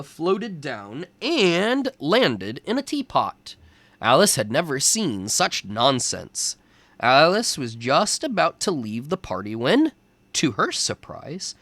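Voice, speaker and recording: A man reads a storybook aloud for young children in a slightly high voice with lively, swooping intonation. He takes his time with each phrase, telling the tale expressively. The sound is close and dry. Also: dry recording.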